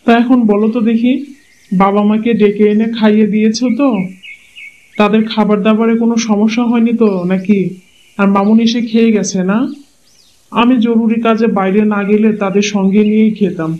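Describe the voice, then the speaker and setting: Man voicing a trusting cartoon husband, high voice. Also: fairly quick, close to an everyday voice. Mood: puzzled. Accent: Bangladeshi Bengali. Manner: calm, reasonable explaining. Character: easygoing young husband, trusting. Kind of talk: Bangla cartoon dubbing. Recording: dull lo-fi sound.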